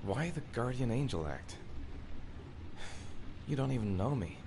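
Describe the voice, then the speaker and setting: A man's voice: mid-range voice, big pitch swings, unhurried, noisy background. A man playing a weary, wounded, secretive man in a cinematic video game, earnest but wary. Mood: suspicious.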